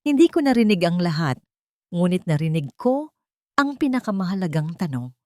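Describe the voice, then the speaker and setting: A woman's voice: on the low side, very animated, moderate pace, dark tone, no echo, clean studio sound. A woman voicing an anguished grown son who questions his mother in a pained, accusing way, in an audiobook. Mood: hurt, accusing.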